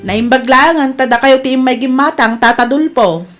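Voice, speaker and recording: A woman's voice: sits high, moderate pace, over music, close to an everyday voice. A woman playing a gossipy, complaining shopkeeper in an Ilocano family comedy drama. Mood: worried, complaining.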